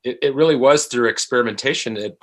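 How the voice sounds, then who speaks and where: A middle-aged man, an actor being interviewed, speaks in a halting, thoughtful way and often repeats his phrases. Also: medium pitch, a bit bright. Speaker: actor guest. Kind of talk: reflective interview conversation.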